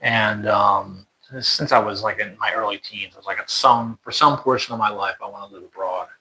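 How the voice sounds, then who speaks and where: A man's voice: fairly low pitch, fairly even intonation, normal speed, bright, forceful tone, rough recording. A young American man talking casually about moving abroad, rambling with lots of fillers and restarts. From United States. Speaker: interviewee. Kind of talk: casual conversation.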